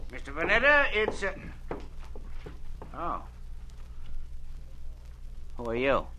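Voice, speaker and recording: An actor playing a nervous, smooth-talking business agent in an old radio drama, hesitating with small pauses. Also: male voice, on the high side, huge pitch swings, sharp tone, a little hiss or hum, exaggerated voice. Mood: guarded. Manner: hesitant, slightly evasive, with frequent "uh" pauses. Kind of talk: 1940s radio drama acting.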